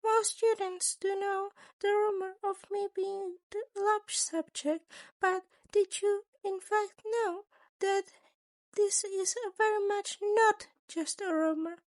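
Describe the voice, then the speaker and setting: A woman's voice: extremely high pitch, steady tone, takes it slowly, dry recording, voice pushed up. A woman voicing a small male chimera school principal, politely menacing, wordy and theatrical, in an audio story. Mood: smooth, menacing.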